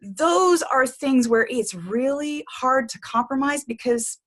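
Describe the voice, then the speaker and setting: A woman hosting an interview, reacting with enthusiasm and thinking through her questions out loud. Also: high voice, animated, takes it slowly. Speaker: interview host.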